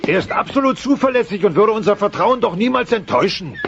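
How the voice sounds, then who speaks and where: A man's voice: high voice, animated, fast talker, gravelly, very noisy. A man playing a blustering, impatient dinosaur father in a children's audio drama, sarcastic and exaggerated in delivery. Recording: muffled cassette radio play with loud music.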